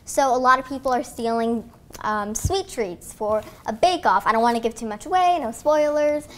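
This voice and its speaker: A woman's voice: a little high, moderate pace, fairly bright tone. A young author from Pennsylvania telling her stories eagerly in a home kitchen, often starting with "um". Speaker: guest author. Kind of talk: interview answers.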